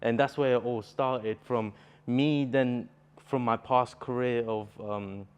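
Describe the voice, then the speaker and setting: A man's voice: mid-range voice, fairly even intonation, takes it slowly, clean tone, highs cut off. A young man from Bulgaria, a native Bulgarian speaker, talking earnestly as a poet guest in a casual interview.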